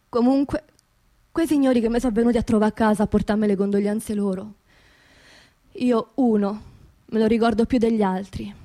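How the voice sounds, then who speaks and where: An actress playing an angry, grieving working-class widow in a radio monologue, speaking colloquial Roman dialect in a confiding, impassioned way with repeated phrases. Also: female voice, medium pitch, mellow, close to an everyday voice. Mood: subdued, bitter.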